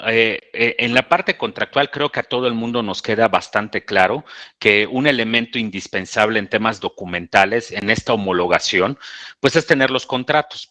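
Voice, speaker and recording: A man's voice: mid-range voice, pushed and bright, no echo. A Mexican man moderating a webinar panel in a conversational, explanatory way and drawing in his co-panelists. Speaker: webinar moderator and tax expert.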